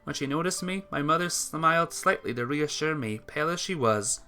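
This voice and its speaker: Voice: mid-range voice, lively intonation, a little fast, bright, forceful tone. A person reading a sentimental story aloud in an earnest narrating style, stumbling now and then. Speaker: visual novel player reading the text aloud. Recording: music behind the voice.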